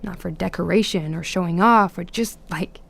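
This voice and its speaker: A woman's voice: lowish voice, normal speed, vocal fry. A young woman acting in an audio drama as a guarded, awkward academic, speaking in a hesitant, reserved way that trails off. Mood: hesitant, nostalgic. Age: young adult.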